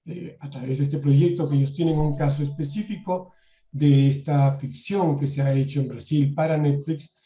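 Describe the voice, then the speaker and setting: Guy hosting a zoom seminar, deep warm voice, roomy echo, calm and polite with lots of ums. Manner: measured, polite, with frequent "eh" fillers. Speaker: seminar moderator. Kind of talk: moderating an online seminar. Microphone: video call.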